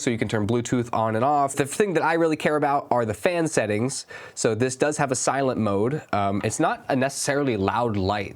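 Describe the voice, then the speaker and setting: Young guy w a stuffy cold voice, chill gear review, mid pitch, gets pumped about the gear. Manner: casual, enthusiastic about gear. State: stuffy, under the weather. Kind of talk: casual product review.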